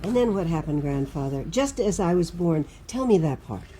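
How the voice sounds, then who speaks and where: A woman's voice: on the low side, lively intonation, moderate pace, slight background noise, close to an everyday voice. A woman voicing an eager, loving young boy who earnestly begs and questions, in a dramatic storybook read-aloud. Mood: curious, eager. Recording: music under the voice.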